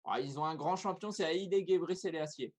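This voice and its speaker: A man's voice: on the high side, moderate pace. A man hosting a live online talk show over a video call, running a playful food quiz with a teasing manner.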